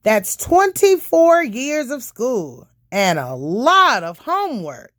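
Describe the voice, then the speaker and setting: A woman narrating a children's book aloud, phrasing each part carefully and deliberately. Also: on the high side, sing-song, no room sound, clean studio sound. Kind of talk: scripted storybook narration.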